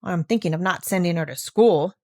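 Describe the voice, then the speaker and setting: A woman's voice: lowish voice, fairly quick, warm tone. A woman voicing a stubborn old mountain grandfather in a storybook, blunt and firmly determined. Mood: calmly defiant.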